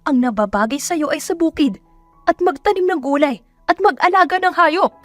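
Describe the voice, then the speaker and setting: A woman voicing a cruel middle-aged provincial mother in an audio drama, shouting scornful, scolding questions at her daughter. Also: high-pitched, expressive, moderate pace, no echo, faint music behind, pitched above the performer's own voice. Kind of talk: Tagalog audio drama acting.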